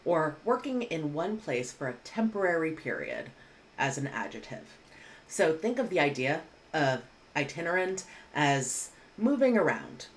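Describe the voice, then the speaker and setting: A woman, teaching English vocabulary in a clear, measured way with casual asides, recorded at home on a webcam. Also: low voice, a little hiss or hum. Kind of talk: vocabulary lesson. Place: home room indoors.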